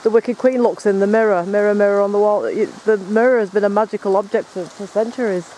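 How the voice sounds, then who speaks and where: A man talks in a chatty, rambling way, his voice pitched high yet mellow and soft-edged. He was recorded outdoors in rainy woods at night, with faint rain and a stream behind him. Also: fairly even intonation, fast, slight background noise. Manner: chatty, rambling, explains as he goes. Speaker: paranormal investigator filming. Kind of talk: casual on-location chat.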